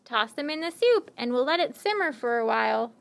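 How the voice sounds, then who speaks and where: A woman voicing a clever, friendly traveling stranger in a children's puppet show, with theatrical, deliberate phrasing. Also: fairly high pitch, big pitch swings, creaky. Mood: cheerful, directing. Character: friendly, tired, hungry wandering stranger puppet, cleverly coaxing.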